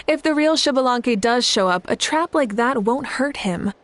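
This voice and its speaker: A wry, higher-voiced young woman. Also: lively intonation, a little slow, slight background noise. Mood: wry amusement. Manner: wry, teasing, composed. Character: poised, wry young woman with teasing amusement. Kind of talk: video game voice acting.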